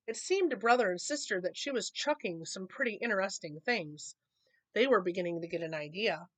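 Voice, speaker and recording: A woman reading a children's picture book aloud in an expressive storytelling manner. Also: mid-range voice, dry recording. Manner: expressive reading, acting out dialogue.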